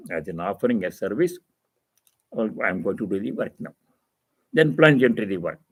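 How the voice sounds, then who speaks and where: Man giving a measured spiritual discourse. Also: on the low side, breathy edge, rough recording. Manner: didactic, repeats phrases for emphasis. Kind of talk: spiritual discourse, reading and explaining scripture.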